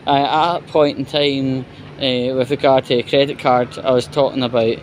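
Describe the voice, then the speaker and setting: A man with a Scottish accent gives an exasperated, sweary, halting off-the-cuff rant while out walking. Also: medium pitch, flat delivery. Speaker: vlogger. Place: outdoors, walking. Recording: noisy outdoor street with traffic and crowd.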